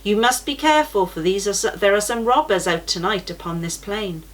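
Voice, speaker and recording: A woman's voice: mid-range voice, fairly quick, lightly acted. A woman reading a man passing with a warning. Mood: urgent. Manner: urgent, warning.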